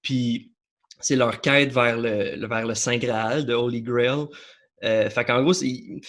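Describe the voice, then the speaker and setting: A man's voice: medium pitch, steady tone, takes it slowly, a bit bright. A young man with a Québécois accent talking casually about a film, rambling with many fillers and restarts. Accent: Québécois French. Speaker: podcast guest. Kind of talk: casual chat about films.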